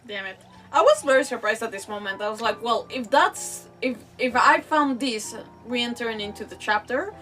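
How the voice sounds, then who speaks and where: A woman's voice: fairly high pitch, normal speed, hardly any pauses, a bit bright. A woman streamer giving casual gameplay commentary in a rambling, self-deprecating way. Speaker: gaming streamer. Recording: slightly distant mic, faint music behind.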